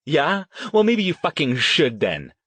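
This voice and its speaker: Male narrator as fed-up female director, forceful, sharp-tongued, lifted pitch, dry audiobook sound. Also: huge pitch swings, a little slow, slightly rough, dry recording, heavily acted. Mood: angry. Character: fed-up, defiant female TV director asserting authority.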